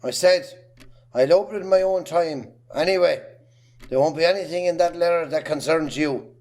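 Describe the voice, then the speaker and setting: A man's voice: on the high side, moderate pace, a little mellow, natural voice. A man with an Irish accent playing a stubborn, bitter, bad-tempered husband in an amateur drama. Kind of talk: amateur stage drama acting.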